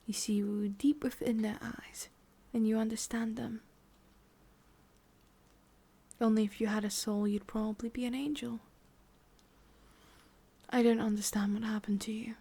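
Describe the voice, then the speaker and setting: A woman's voice: fairly high pitch, little pitch movement, slightly rough. Whispering English demon boss woman, acted by a woman. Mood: scornful, disappointed. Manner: condescending, deliberate, slipping between soft voice and whisper. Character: sadistic female demon boss, condescending, menacing, controlled. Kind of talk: ASMR roleplay, soft speech slipping into whispers.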